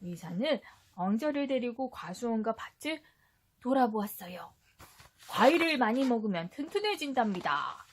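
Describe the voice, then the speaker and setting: High-voiced woman narrating a children's story. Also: big pitch swings, moderate pace, a little hiss or hum. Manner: instructive, child-directed. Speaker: mother reading a storybook to her child.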